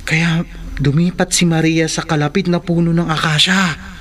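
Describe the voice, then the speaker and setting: A woman's voice: sits low, steady tone, normal speed, close to an everyday voice. A woman playing a brave, knowing boy who guides others in a horror radio drama, earnest and assured. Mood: grave. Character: brave, knowing youth Mark, protective guide against spirits.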